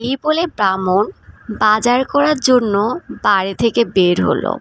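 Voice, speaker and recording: A woman's voice: mid-range voice, lively intonation, moderate pace, fairly bright tone. A woman narrator telling a scripted cartoon story, with noise behind her. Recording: noticeable background noise.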